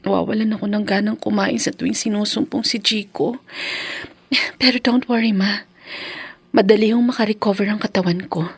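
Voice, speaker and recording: A woman playing a devoted, weary young mother with a gentle manner in a Filipino radio drama. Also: mid-range voice, fairly even intonation, normal speed, gravelly, breathy edge, no echo. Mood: weary, resolute. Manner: gentle, soothing.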